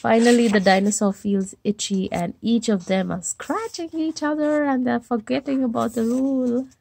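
A woman's voice: a little high, fairly even intonation, moderate pace, creaky, darkish tone, slight background noise. A woman reading a children's picture book aloud as a storyteller, acting out the dialogue expressively.

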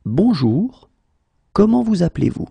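A man's voice: high voice, very animated, a little slow, gravelly, breathy edge, dry recording, lo-fi sound. A male native French teacher drilling phrases one at a time, repeating each and then breaking it into single words. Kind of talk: slow phrase-by-phrase language drill.

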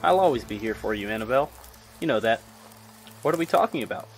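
A man's voice: mid-range voice, lively intonation, fast talker, lightly acted. A man playing an eager, clingy, geeky teenage boy in an audio drama. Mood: devoted. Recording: music under the voice.

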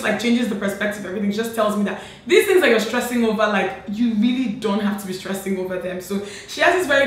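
A woman in her late twenties from Nigeria, hosting a casual sister tag chat with animated, laughing talk, filmed seated at home. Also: fairly high pitch. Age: late 20s. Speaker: beauty vlogger host. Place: bright home room, indoors. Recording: echoey room with faint noise.

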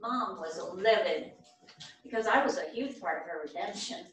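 A woman's voice: mid-range voice, lively intonation, moderate pace, close to an everyday voice. Echoey, distant woman acting an earnest older widow. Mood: confessional, reflective. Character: Pat, candid older widowed mother, the group's organizer. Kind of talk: staged play reading. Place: theatre stage, indoors. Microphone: far camera. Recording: echoey theatre stage from far off.

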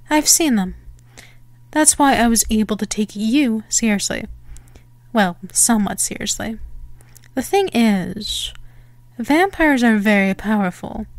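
Actress for possessive female vampire, ASMR audio drama. Lightly high voice, very slow, condescending and teasing delivery. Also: no room sound. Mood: coy, probing. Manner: mocking, condescending, drawn-out delivery. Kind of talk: slow ASMR roleplay monologue.

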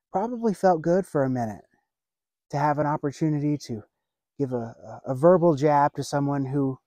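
Slow, mellow-voiced pastor preaching outdoors. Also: male voice, medium pitch, dry recording. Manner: earnest, measured preaching with pauses. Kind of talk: sermon. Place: outdoors by a lake, seated on a bench.

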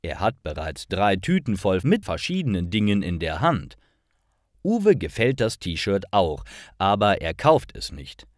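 Male storyteller for language learners: deliberate, highly animated intonation, dry close recording. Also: no echo. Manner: deliberate, carefully phrased. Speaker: narrator of stories for language learners.

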